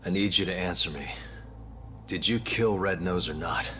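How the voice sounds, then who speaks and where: An actor playing a cool, sharp-tongued younger investigator with a calm, pointed delivery in an English video game dub. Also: male voice, low-pitched, normal speed, soft background music. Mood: probing, firm. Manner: calm, pointed, dryly cheeky.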